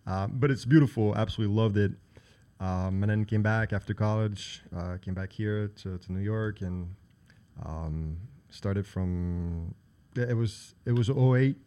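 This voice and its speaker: A man's voice: lowish voice, measured pace, dark tone. A man in his thirties from French-speaking Switzerland, a guest telling his story in a casual interview, hesitant with many ums and uhs. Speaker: guest entrepreneur.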